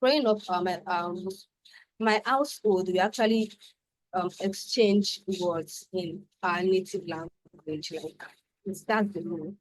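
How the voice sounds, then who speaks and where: A Nigerian poet and translator, a woman whose first language is Yoruba, introducing herself softly and hesitantly with many ums on a video call. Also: lowish voice, a little slow, no echo, rough recording. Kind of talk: introducing herself and reading her translated poem.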